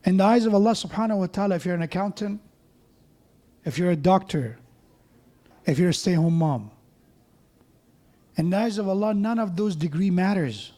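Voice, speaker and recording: A man's voice: high voice, expressive, moderate pace, long pauses, warm tone. A man preaching a sermon in a mosque, conversational, with joking asides and frequent restarts.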